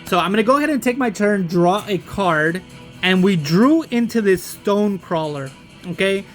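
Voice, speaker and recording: A man with a noticeably high-pitched voice hosts a casual walkthrough of a game. He explains each step plainly, pausing to check that it is clear. Music plays faintly behind him. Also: lively intonation. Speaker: host demonstrating a card game.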